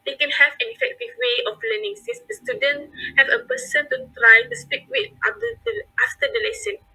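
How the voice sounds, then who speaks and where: A woman's voice: high voice, bright, forceful tone, low-quality recording. A young Malaysian woman presenting prepared points as a forum panelist, with hesitant fillers and restarts.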